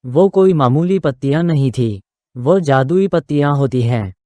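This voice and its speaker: A man's voice: on the high side, animated, moderate pace, mellow, no room sound, studio quality. A man narrating a scripted moral story for a children's cartoon.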